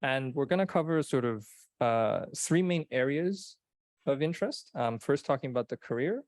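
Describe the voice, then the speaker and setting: A man's voice: mid-range voice, lively intonation, normal speed, darkish tone, dry recording, studio quality. A young man moderating a seminar panel, introducing it hesitantly and often restarting his phrases.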